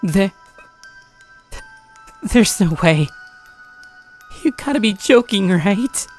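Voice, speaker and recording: A woman's voice: medium pitch, expressive, extremely slow, a little mellow, soft background music. A woman playing an anxious young grandchild who earnestly and dramatically narrates a horror tale. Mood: alarmed. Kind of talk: first-person scripted horror narration with acted dialogue.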